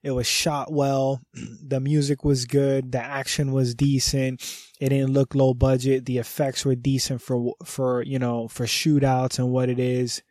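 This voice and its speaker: A man hosting a podcast, stuffy and nasal from a cold, talking in a loose, enthusiastic and profane way with frequent throat clearing. His voice is warm and mellow. The sound is dry and close, with no room around it. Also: medium pitch, level tone, a little slow, no echo. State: congested from a cold. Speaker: podcast host. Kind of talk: casual review monologue.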